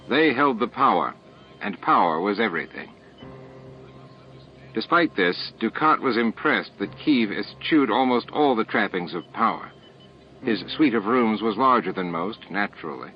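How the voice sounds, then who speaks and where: A man reading a novel aloud as a scripted audiobook narrator. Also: lowish voice. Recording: dull lo-fi audiobook tape. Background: added music and sound effects.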